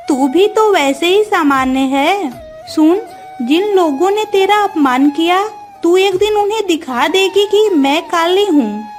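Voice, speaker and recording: A woman voicing a kind, encouraging, motherly mistress in a Hindi moral-story cartoon, with emphatic, deliberate delivery. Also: very high-pitched, heavily acted.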